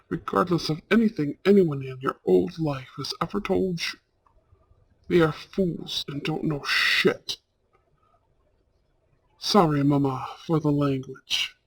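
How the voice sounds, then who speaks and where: A man playing a warm, protective older brother from a mafia family, speaking tenderly and earnestly in a romantic audio roleplay. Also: fairly high pitch, gravelly, breathy edge, heavily acted, poor audio. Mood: grateful, sincere. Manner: tender, earnest, halting delivery with sprinkled Italian endearments.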